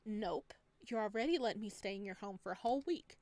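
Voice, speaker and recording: A woman's voice: mid-range voice, animated, fast talker, a little mellow, no echo, lightly acted. A woman voicing an enthusiastic, chatty traveler staying as a houseguest in a visual novel, earnest and bubbly. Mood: insistent. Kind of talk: visual novel protagonist reading.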